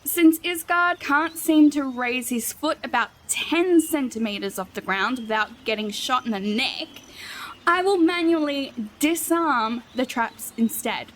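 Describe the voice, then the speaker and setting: A woman's voice: high-pitched, a little slow, a bit bright, a little hiss or hum. A woman playing an exasperated, sarcastic fantasy rogue who scolds her companions in a comic audio drama.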